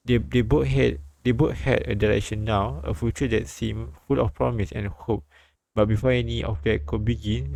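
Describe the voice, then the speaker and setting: A man live streaming, reading a visual novel aloud haltingly with casual comments and stumbles over words. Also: on the low side, creaky, a little mellow, no room sound, low-quality recording. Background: light game music.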